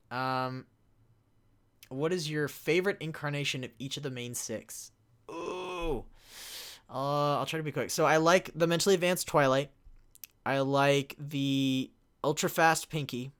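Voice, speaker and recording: Creaky-voiced man rambling through a casual Q&A. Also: mid-range voice, fairly bright tone. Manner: halting, rambling, self-interrupting. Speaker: panelist, web series creator answering fan questions. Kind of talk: casual live Q&A, reading questions aloud.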